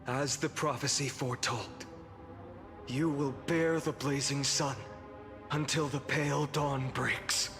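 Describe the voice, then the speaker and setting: An actor playing a weary, defiant fallen hero in a video game, solemn and grandiose with bitter scorn. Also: male voice, mid-range voice, steady tone, slow. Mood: solemn farewell. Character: weary, defiant male fallen hero burdened by countless lifetimes. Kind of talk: dramatic scripted video game acting. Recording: loud music behind the voice. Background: game soundtrack music.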